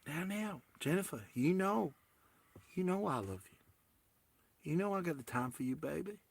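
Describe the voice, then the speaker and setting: A man playing a gentle, apologetic boyfriend who talks in a soft, coaxing, halting way. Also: fairly high pitch, expressive, creaky, heavily acted.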